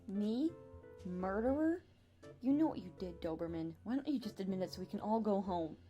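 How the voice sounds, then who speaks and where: A woman's voice: medium pitch, expressive, moderate pace, dark tone, lo-fi sound, faint music behind, natural voice. A woman playing a feisty, defiant defendant in an audio drama, sassy and combative.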